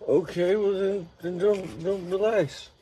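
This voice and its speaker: Man playing a high, husky stoner teen. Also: fairly even intonation, dark tone, low-quality recording. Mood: dazed, laid-back. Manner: slow, halting drawl. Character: spaced-out stoner teenage boy behind the wheel. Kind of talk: comic screenplay table read.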